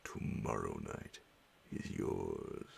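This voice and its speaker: Man voicing a sinister, rumbling old vampire count. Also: fairly even intonation, creaky, darkish tone, slight background noise, heavily acted. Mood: menacing gloating. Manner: slow, menacing, drawn-out.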